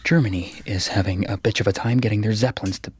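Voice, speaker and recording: An actor playing a jumpy, self-mocking young graduate student in a radio drama, husky and nervously muttering. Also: male voice, fairly low pitch, fast talker, dry recording. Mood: anxious reasoning. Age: young adult. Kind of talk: radio drama acting, interior monologue.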